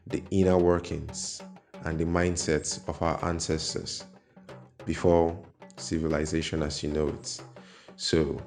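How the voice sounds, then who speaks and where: A man speaks in a very low voice at an unhurried pace. He explains folklore to his viewers carefully and often stops on fillers. The room gives his voice a roomy echo, and soft music plays underneath. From Nigeria. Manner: measured, pausing often with fillers. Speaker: YouTube host. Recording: echoey room with faint music.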